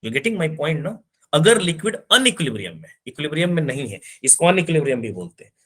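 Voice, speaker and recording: A man's voice: a little high, very animated, fast, a bit bright, breathy edge. A man teaching physics in an online class, explaining step by step and often checking that his students understand.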